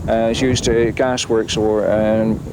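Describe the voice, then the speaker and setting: A man explains old railway wagons in a halting way, full of pauses. He is outdoors at a railway yard. Heavy engine and wind noise fills the old film recording. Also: fairly low pitch, level tone. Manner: halting, many pauses and fillers. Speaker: guide at a railway preservation site. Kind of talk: guided tour explanation.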